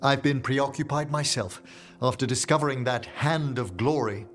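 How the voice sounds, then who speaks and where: A man's voice: mid-range voice, normal speed, faint music behind, close to an everyday voice. An actor playing a stern male scholar in a video game, precise and formal, with grave wording. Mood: grave, admonishing. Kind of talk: video game dialogue acting.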